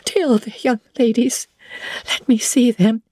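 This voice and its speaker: A woman's voice: fairly high pitch, fairly even intonation, unhurried, dark tone, no room sound, voice pushed up. A woman voicing a desperate, exhausted young woman wanderer, pleading and faltering as she speaks.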